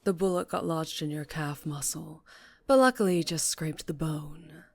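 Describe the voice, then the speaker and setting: A woman playing a dangerously charming female mafia boss in an ASMR roleplay, teasing and deliberate, often slipping into whispers. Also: sits low, a little hiss or hum. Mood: soft threat.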